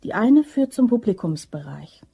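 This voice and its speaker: A woman's voice: medium pitch, sing-song, moderate pace, gravelly, mellow, husky, exaggerated voice. An actress playing a cool, bossy office woman who masterminds a robbery, clipped and businesslike, in a German crime radio play. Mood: cautious. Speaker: actor.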